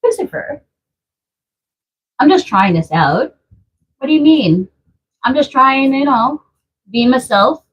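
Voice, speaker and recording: A woman's voice: high-pitched, lively intonation, slow, pauses often, breathy edge, dull audio. A woman from Trinidad with a Trinidadian accent, chatting casually on a live stream at home and reading out viewer comments in a playful way. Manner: chatty and playful, laughing often. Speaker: vlogger hosting a live stream.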